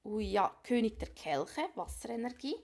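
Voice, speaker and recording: Woman tarot reader with a cold, gravelly and a bit high, casual and musing, drops into whispers. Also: animated, moderate pace, a little mellow, slight background noise. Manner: musing, thinks aloud, frequent whispered asides. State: has a cold. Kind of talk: casual tarot reading to viewers. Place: small room at a card table.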